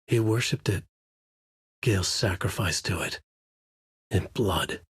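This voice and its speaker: Brooding haunted writer for a video game, husky, dry close sound, tense noir narration, measured and dramatic. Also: male voice, sits low, dry recording, exaggerated voice. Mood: brooding, grave. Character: brooding, haunted male writer narrating his dark story. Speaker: voice actor. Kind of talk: scripted first-person noir narration.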